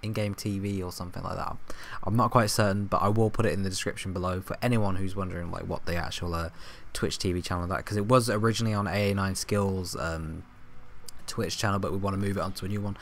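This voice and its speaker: A young man talks over football gameplay in a somewhat low, hoarse voice that keeps threatening to give out. He rambles with ums and restarts, and a faint hum sits under the home recording. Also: steady tone, fast talker, a bit bright. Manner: rambling, filler-heavy, self-correcting. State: hoarse, coughing, voice going. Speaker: gaming YouTuber. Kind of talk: casual gameplay commentary.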